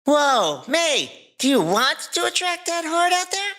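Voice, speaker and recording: A woman's voice: very high-pitched, big pitch swings, unhurried, a bit bright, voice pushed up, full character voice. A woman voicing a cocky, teasing teenage boy with smug, good-natured sarcasm in a fan fiction reading. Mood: urgent, hushing.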